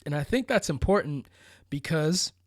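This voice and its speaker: A man's voice: on the high side, lively intonation, moderate pace, dry recording, studio quality. An American man from Florida giving a casual motivational monologue, conversational and emphatic, often checking in with rhetorical questions and asides. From Florida, United States. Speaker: vlogger.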